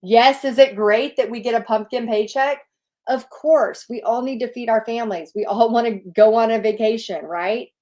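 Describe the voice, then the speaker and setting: A woman's voice: fairly high pitch, normal speed, a little mellow. A woman with a Southern US accent, a paint party instructor chatting casually as she answers viewer questions in an upbeat, encouraging way. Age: adult, nearly two decades of experience.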